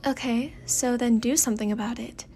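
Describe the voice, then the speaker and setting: Woman as dry, sarcastic high-voiced teenage girl. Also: steady tone, a little fast, mellow, soft background music, natural voice. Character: sarcastic, sceptical seventeen-year-old girl.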